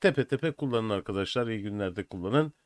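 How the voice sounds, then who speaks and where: An older man presenting a software tutorial in an informal, step-by-step way. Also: lowish voice, animated, a little fast, no room sound. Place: small indoor room at a desk. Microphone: USB computer microphone.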